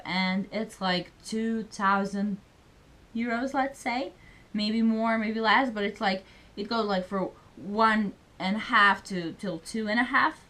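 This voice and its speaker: Young woman talking to camera, chatty, says like a lot, middle-pitched voice. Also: fairly bright tone. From Serbia. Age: young adult. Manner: chatty, many fillers, speaks English as a second language. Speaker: student vlogger. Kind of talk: casual vlog chat.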